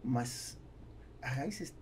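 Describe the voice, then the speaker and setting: A man's voice: mid-range voice, expressive, very slow, darkish tone, slight background noise. A man in his forties with an Argentine Spanish accent, a native Spanish speaker who mixes Spanish words into casual podcast talk. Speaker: guest entrepreneur. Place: small foam-padded indoor podcast studio. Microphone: studio mic on boom arm.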